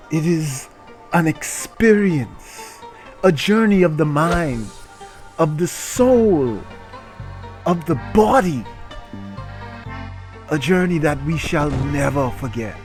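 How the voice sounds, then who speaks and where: A man's voice: on the high side, lively intonation, slow, long pauses, a little mellow, soft background music. A man hosting a game livestream in a theatrical, mock-grandiose way, with muttered, sweary asides. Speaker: livestream host. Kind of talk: theatrical game-stream commentary.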